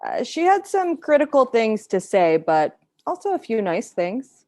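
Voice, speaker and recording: A woman's voice: on the high side, big pitch swings, a little slow, a little mellow. A woman playing a frank, skeptical friend who reproaches someone, in a table read of a screenplay. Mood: reproachful.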